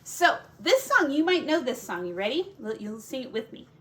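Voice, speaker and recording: A woman's voice: sits high, very animated, normal speed, a bit bright. A woman who teaches, reading a picture book aloud to children expressively, drawing out key words for drama and adding casual asides.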